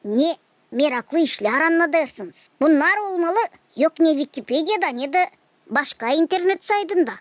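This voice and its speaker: A woman voicing an earnest, curious young girl in an animated cartoon. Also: sits high, measured pace, dry recording.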